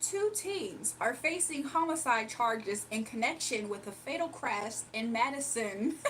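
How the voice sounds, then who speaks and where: A woman putting on the voice of a stiff female TV news anchor reading a teleprompter, in a slow, measured cadence. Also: sits high, fairly bright tone, slight background noise, heavily acted, rough recording.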